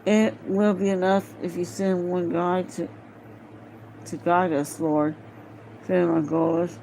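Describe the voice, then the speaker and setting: An amateur woman reader works through a fantasy novel at a slow pace. She is hesitant, breaking phrases apart and stumbling over words. It is a home recording with faint noise behind her voice. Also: fairly low pitch, level tone, vocal fry, mellow, low-quality recording. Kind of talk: halting read-aloud of a fantasy novel.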